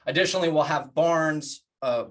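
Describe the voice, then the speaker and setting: A man presenting plans in a public address, speaking earnestly in deliberate phrases with emphatic pauses. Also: on the high side. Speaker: organization leader presenting plans.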